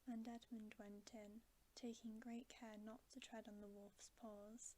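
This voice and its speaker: A woman reading a bedtime story as ASMR in a breathy whisper. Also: on the high side, little pitch movement, unhurried, mellow, a little hiss or hum.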